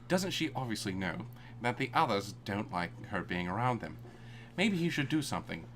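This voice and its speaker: A man's voice: lowish voice, very animated, normal speed, fairly bright tone, slight background noise. A man narrating a fan-fiction story aloud for laughs, often breaking into giggles while reading.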